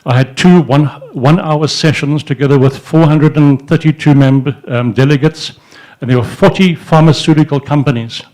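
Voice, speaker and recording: A man's voice: a little high, normal speed, a little mellow, poor audio. An older man telling stories to an audience at an indoor event, speaking into a handheld microphone, rambling with many restarts and fillers. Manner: gestures with his free hand while he talks. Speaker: entrepreneur speaking at a society event. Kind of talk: informal speech to an audience. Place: indoor event room in front of a banner.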